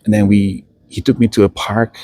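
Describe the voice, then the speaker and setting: Male, deep register, dry sound. Casual student interviewer, talkative and anecdotal. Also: little pitch movement, moderate pace, warm tone, no echo. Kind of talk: casual unscripted interview chat. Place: indoors at a university building.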